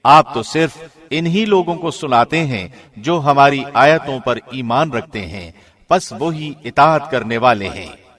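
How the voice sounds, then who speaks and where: A man calmly reading a scripted translation in measured phrases, recorded in a studio. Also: mid-range voice. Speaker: translation narrator. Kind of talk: scripted narration.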